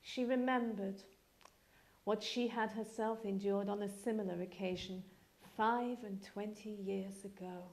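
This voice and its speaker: Actress reading a classic novel aloud, woman, soft mellow voice, measured but expressive. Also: medium pitch. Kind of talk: literary narration, live dramatic reading. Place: live reading event.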